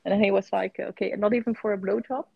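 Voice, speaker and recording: A podcast host with a gravelly, fry-laced voice, recorded dry and dull on a lo-fi mic. She tells stories haltingly and fills her pauses. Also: medium pitch, fairly even intonation, no echo. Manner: hesitant, with many fillers. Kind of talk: podcast conversation.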